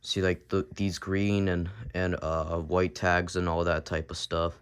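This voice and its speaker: Raspy deep-voiced young man, sick. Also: flat delivery, measured pace. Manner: rambling, repeats and restarts as he shows things. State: sick, coughing. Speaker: vlogger. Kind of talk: casual home vlog tour. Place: home basement, indoors.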